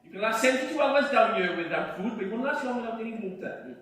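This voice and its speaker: A man playing a Welsh coal miner, gruff and teasing, with a high, lively and bright voice. It sounds like a stage recording caught from far off in an echoey space. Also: fast. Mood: matter-of-fact. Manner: gruff, teasing, then urgent shouting. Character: Welsh coal miner John, steady comrade. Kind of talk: stage drama acting.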